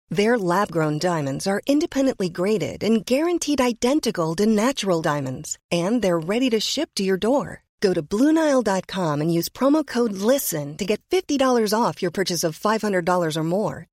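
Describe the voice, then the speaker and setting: A woman's voice: mid-range voice, a little mellow, no room sound, studio quality. A woman announcer delivering a scripted advertisement. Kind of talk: scripted ad read.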